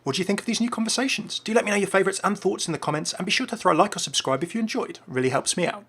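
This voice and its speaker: A man's voice: high-pitched, racing, a bit bright, husky. A man presenting scripted commentary on video game lore as a voice-over. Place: voice-over with faint music behind.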